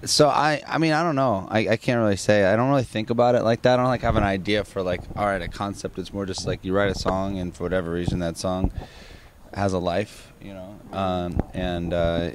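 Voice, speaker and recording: A man's voice: deep voice, fairly even intonation, a little fast, noisy background. A man, singer of a rock band, answering interview questions in a rambling, casual way with many restarts and fillers.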